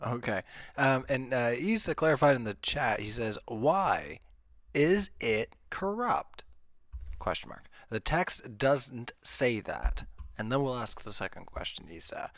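A man's voice: mid-range voice, big pitch swings, unhurried, fairly bright tone. A man moderating a debate casually and chummily, reading out questions and passing them to guests.

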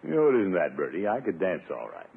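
An actor playing a blustering, jealous middle-aged bachelor in a 1950s radio sitcom, pompous and grumbling with theatrical exasperation. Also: male voice, low-pitched, wildly swooping pitch, fairly quick, vocal fry, breathy edge, full character voice. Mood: sulky, defeated. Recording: tinny, noisy 1950s radio recording.

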